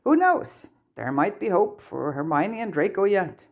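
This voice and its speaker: A woman's voice: mid-range voice, very animated, a little slow, a little mellow. A woman narrating a piece of fiction from a script, as an audiobook reader does.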